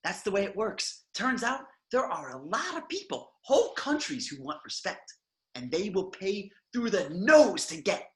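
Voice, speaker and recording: A man's voice: high voice, big pitch swings, normal speed. Man playing a manic, swooping young supervillain. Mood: resentful, smug. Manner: theatrical, boastful monologuing. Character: gloating, manic young male supervillain with a grudge. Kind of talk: online table read of a film script.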